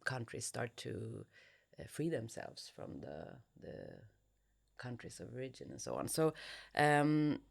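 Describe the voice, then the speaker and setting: A woman with a Swedish accent giving reflective interview answers, hesitant and often pausing to search for words. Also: rumbling low, sing-song, creaky. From Sweden. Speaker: interviewed novelist.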